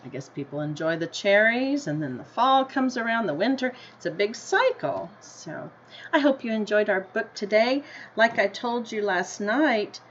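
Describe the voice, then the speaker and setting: An American woman teacher reading a picture book aloud to children, deliberately, with simple explanatory asides and questions to her listeners. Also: mid-range voice, animated. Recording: dull lo-fi sound with noticeable background noise.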